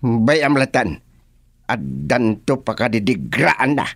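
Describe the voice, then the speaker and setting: Slow, animated, indignant Filipino village chairman played by an actor. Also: medium pitch, creaky, exaggerated voice. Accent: Filipino, Ilocano. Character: indignant male village chairman, easily riled. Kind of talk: Ilocano radio comedy-drama acting.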